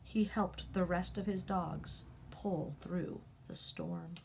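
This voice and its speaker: A woman reading a children's picture book aloud, expressive, rising up and dropping to a hush for the dramatic parts. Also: fairly low pitch, slow, gravelly, slight background noise, low-quality recording. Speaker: storybook reader.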